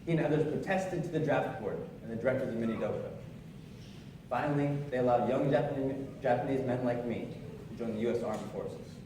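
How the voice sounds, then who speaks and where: An actor playing a young Japanese-American sergeant earnestly recounting camp life in a live 1940s-style radio play. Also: male voice, mid-range voice, a little fast, lightly acted. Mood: sober, earnest. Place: theatre stage, live performance. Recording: roomy stage recording, distant mic, noisy.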